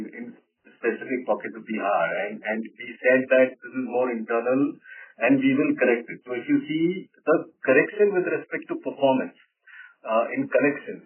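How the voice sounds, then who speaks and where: A man's voice: mid-range voice, slight background noise. A man explaining results as a company executive on an earnings call, often checking with "right?". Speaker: company executive answering analysts' questions. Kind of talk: unscripted earnings call answers. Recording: narrow-band phone line, distant from mic.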